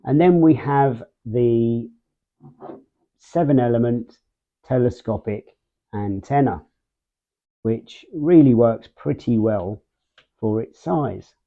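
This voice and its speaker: A man presents a product walkthrough at an unhurried pace, in a middling pitch. He breaks his sentences into short, measured phrases with frequent pauses. The sound is narrow and muffled, like a phone line. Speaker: video host, product reviewer. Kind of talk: casual unboxing and product walkthrough.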